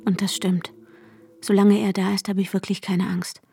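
An actress playing an anxious, insistent woman searching for her vanished lover, urgent and often hushed, in a radio drama. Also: medium pitch, level tone, moderate pace, creaky, natural voice. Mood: brooding, intimate. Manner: urgent, questioning, often dropping to a hushed whisper. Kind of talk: radio drama dialogue and intimate first-person narration.

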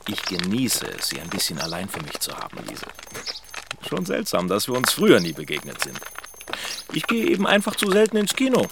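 A teasing, unsettling suitor. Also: male voice, huge pitch swings, fairly quick, background noise. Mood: flirting. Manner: smooth, teasing, swings pitch widely. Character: flirtatious, unsettling man, overly attentive. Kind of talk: radio drama acting.

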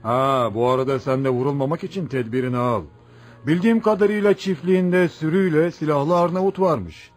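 An actor playing a calm, probing gendarme station commander in a Turkish radio play, measured and unimpressed. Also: male voice, fairly high pitch, natural voice. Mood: skeptical calm. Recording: faint music behind radio drama.

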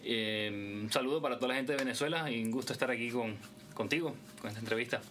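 Man, medium pitch, faint noise. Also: fast talker, a bit bright. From Colombia. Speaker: drummer, band guest. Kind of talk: casual self-introduction in interview.

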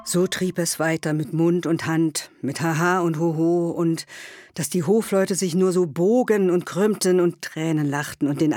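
A man's voice: fairly high pitch, dry recording, clean studio sound, close to an everyday voice. A man delivering scripted literary narration as a narrator in a radio drama.

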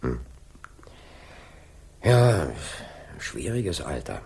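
World-weary inspector with swooping intonation. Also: male voice, a bass voice, huge pitch swings, drawn-out words, creaky, a little mellow, heavily acted. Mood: wry, resigned. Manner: dry, terse, insistent questioning. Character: world-weary, dogged male police inspector. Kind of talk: German radio crime drama acting. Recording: 1980s studio radio play.

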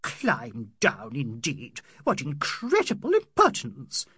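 A man's voice: medium pitch, huge pitch swings, slow, pushed and bright, slightly rough, no echo, clean studio sound, exaggerated voice. A man voicing a haughty, indignant British colonial matron in an audiobook, imperious and outraged.

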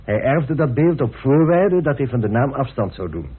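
An actor playing a courteous, grave gentleman telling of a family mystery in a radio play. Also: mid-range voice, animated, moderate pace, husky. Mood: solemn, confiding. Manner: polite, solemn, storytelling. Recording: muffled, band-limited old radio recording.

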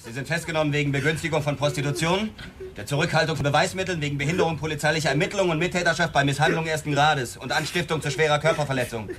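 A man's voice: on the high side, fairly even intonation, racing, a crowd in the background, lightly acted. An actor playing an officious lawman reciting charges in a radio drama. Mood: official, hard. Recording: music behind the voice.

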